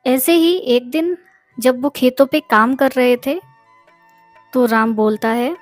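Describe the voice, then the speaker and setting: High-pitched woman narrating a story. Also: slow, dry recording. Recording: music behind the voice.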